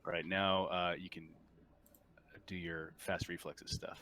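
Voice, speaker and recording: A man running a tabletop roleplaying game as game master, with a crisp, clear voice. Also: sits low, little pitch movement, measured pace, sharp tone.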